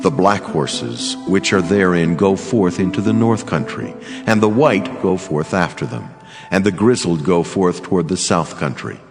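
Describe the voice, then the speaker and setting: An actor voicing a solemn, commanding angel with grave, slow delivery in a scripture audio drama. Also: male voice, sits low, animated. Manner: grave, slow, declamatory pronouncements. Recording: long cavernous reverb, music behind. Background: music.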